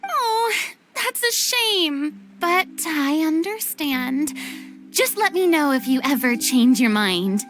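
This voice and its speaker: A woman's voice: high-pitched, expressive, takes it slowly, a bit bright, soft background music, exaggerated voice. A woman playing a theatrical, exuberant young female film director in a video game, dramatic and effusive in manner. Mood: mildly disappointed. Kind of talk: video game character acting.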